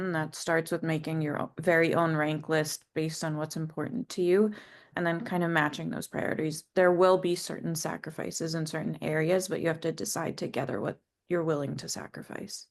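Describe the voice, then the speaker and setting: A woman's voice: low voice, level tone, normal speed. A young woman resident speaking earnestly on a webinar panel.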